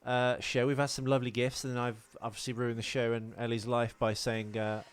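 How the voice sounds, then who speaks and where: A man's voice: mid-range voice, little pitch movement, fairly quick, clear, resonant tone. Man rambling through casual podcast banter. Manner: rambling, self-deprecating banter. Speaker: podcast co-host. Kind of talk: casual, joking podcast chat.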